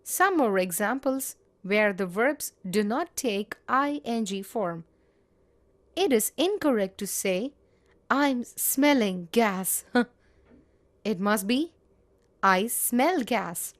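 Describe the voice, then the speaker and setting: A woman teaching grammar, reading example sentences in a measured, deliberate way. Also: a little high, expressive. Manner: measured, spells out each example. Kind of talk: slow, deliberate grammar lesson.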